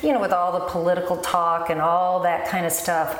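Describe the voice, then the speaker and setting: A woman guest speaking earnestly and warmly about faith and reaching out to strangers. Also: on the low side, flat delivery, brisk, echoey room. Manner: earnest, heartfelt. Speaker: ministry guest. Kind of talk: casual conversation about faith.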